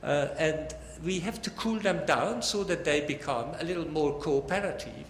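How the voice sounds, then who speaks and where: An older man giving a technical academic lecture in a halting, deliberate way. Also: a little high. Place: large lecture hall. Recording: echoey hall with long reverb, background noise.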